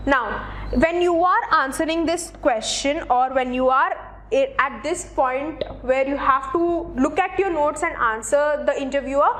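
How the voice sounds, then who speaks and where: A woman's voice: sits high, measured pace, a bit bright. A woman presenting instructional advice in a didactic way, speaking directly to the listener in a semi-scripted style. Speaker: presenter of interview tips for job seekers.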